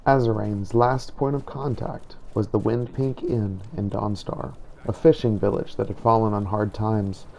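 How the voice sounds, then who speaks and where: Man, low mellow voice, gravelly, scripted fantasy journal narration, measured, in character. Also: steady tone, a little hiss or hum. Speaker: player narrating his game character.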